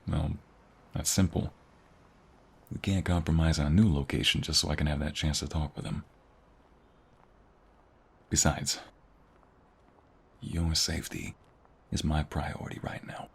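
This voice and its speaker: Man acting a very deep, gravelly protective mobster husband. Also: little pitch movement, exaggerated voice. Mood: tender, teasing. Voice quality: deep, gravelly, often breathy murmur. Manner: slow, teasing, intimate. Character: protective, flirtatious ex-mobster husband, tender but menacing. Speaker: voice actor. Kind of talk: intimate ASMR roleplay audio drama.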